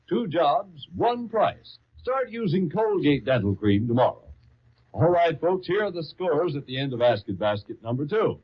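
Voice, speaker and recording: A man's voice: a little high, breathy edge. A man reading as an old-time radio announcer, with an emphatic, persuasive salesman's delivery. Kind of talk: 1930s radio advertising read. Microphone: studio broadcast microphone. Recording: muffled, lo-fi 1939 radio broadcast.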